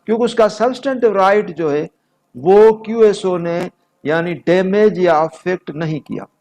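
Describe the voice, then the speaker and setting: A man's voice: high-pitched, normal speed, a little mellow. A man with a Pakistani accent giving an instructional law lecture in a methodical, explanatory way. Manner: methodical, explanatory, switching between two languages. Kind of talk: instructional lecture mixing explanation with read-out notes.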